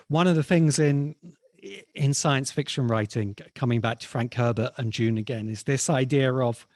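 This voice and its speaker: Male expert guest, medium pitch, thoughtful and slightly halting conversational explainer, dry recording with no room. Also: a little mellow, dry recording. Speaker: interviewed science fiction expert.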